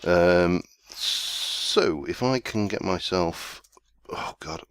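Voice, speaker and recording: Brief: male game host, very low voice, chesty with a cold, rambling and self-mocking, dry close mic. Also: animated, extremely slow, a bit bright, smooth, no room sound. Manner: rambling, self-deprecating, easygoing. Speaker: let's play host. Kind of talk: casual gameplay commentary.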